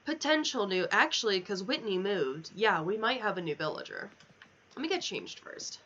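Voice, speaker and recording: A woman's voice: mid-range voice, sing-song, moderate pace, bright, forceful tone. Casual woman streamer, dull headset sound. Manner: informal, rambling, affectionate. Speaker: video game livestreamer. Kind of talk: casual livestream chat over gameplay. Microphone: sensitive headset mic.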